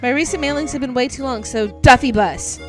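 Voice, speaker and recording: A woman's voice: high voice, a little fast, soft background music. A woman co-hosting a podcast, reading listener emails aloud in a casual, gushing way that is peppered with swearing and ums. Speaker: podcast co-host.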